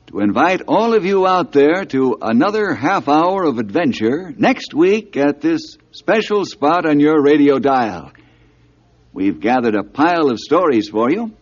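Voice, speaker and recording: An actor playing a hearty male ranger leader who also hosts a 1950s radio adventure show. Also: a little high. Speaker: lead character and host. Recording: dull lo-fi 1950s radio recording.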